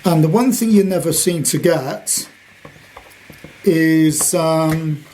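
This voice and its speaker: A British man in his sixties with a slightly high voice talks through an item in a casual show-and-tell. His delivery is chatty, unscripted and rambling, and there is faint noise behind him. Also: slow, a little mellow. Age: 60s. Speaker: radio collector presenting his item.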